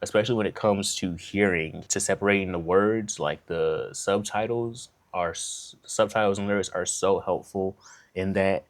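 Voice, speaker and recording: Low-voiced American man musing to camera. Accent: American English. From United States. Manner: relaxed, thoughtful, many fillers like "like" and "kinda". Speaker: vlogger. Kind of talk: reflective casual monologue.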